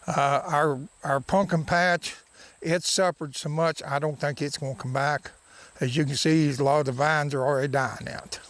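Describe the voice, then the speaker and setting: A man's voice: a little high, fairly even intonation, moderate pace, fairly bright tone. A man who farms, giving unscripted answers in a news interview. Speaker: farmer.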